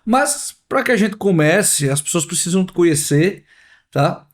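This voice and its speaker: A man's voice: sits high, expressive, unhurried. A man hosting a podcast, chatting and joking while he asks his guest questions. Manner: chatty, jokey, leading questions. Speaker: podcast host. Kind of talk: casual podcast interview.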